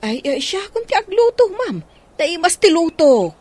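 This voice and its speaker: A woman's voice: very high voice, big pitch swings, unhurried, darkish tone, heavily acted. A woman with a Filipino accent playing an eager, deferential household helper in a radio drama. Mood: eager, reassuring.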